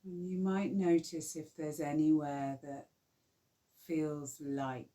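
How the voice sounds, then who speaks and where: A woman guiding a yoga nidra relaxation, speaking softly and soothingly with long pauses. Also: low-pitched, flat delivery. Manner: slow, soft, soothing guidance with long pauses. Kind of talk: guided relaxation meditation.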